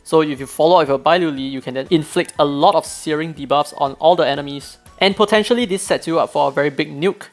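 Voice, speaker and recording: A hoarse man reading out game patch notes, then breaking in with his own eager opinions, as a gaming video creator. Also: fairly high pitch, fast talker, hardly any pauses, faint music behind.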